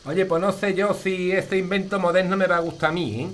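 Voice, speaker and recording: A woman's voice: lowish voice, fairly even intonation, brisk, lightly acted. An actor voicing a scolding but affectionate old village grandmother with an Andalusian accent, folksy and exclamatory, in an audio family comedy. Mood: skeptical. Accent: Andalusian Spanish.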